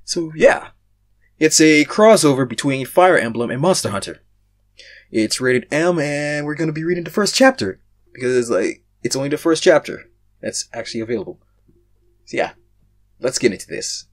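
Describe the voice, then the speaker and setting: A young man reading fan fiction aloud, casual in his chat and theatrical in his narration, swinging between hushed and loud. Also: fairly high pitch, animated, moderate pace. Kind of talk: casual intro and dramatic story narration.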